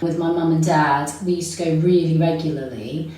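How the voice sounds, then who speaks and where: Low-voiced London woman in an echoey room. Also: fairly even intonation, fairly quick, dark tone, distant mic. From London, England. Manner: reflective, flowing, many filler words. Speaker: guest. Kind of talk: podcast interview answer. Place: room recorded in person. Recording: very echoey room, set back from mic, faint noise.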